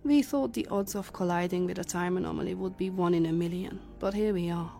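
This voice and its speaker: A woman playing a composed, authoritative leader of time travelers in a science fiction audio drama, speaking in measured explanations. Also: lowish voice, gravelly, warm tone, faint music behind. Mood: grave, explaining.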